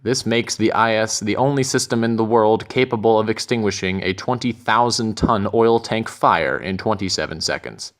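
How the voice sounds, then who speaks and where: A man reading scripted narration for a corporate training film in a measured, methodical way. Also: lowish voice.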